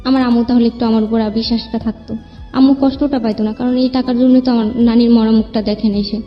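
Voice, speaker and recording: Higher-voiced young Bangladeshi woman, very reverberant. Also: steady tone, fast. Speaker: guest telling own life story. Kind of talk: personal spoken account. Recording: long reverb, dull muffled sound, music underneath. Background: background music.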